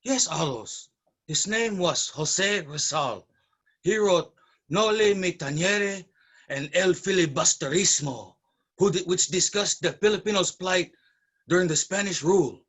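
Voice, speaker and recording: Man acting earnest, high-voiced history teller. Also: lively intonation, measured pace, a bit bright, full character voice. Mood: solemn. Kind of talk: staged reading dialogue.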